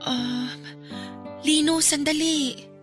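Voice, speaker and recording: A woman's voice: sits high, fairly even intonation, drawn-out words. An actress playing a nervous, polite young woman in a Filipino radio drama. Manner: timid, polite. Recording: music behind the voice.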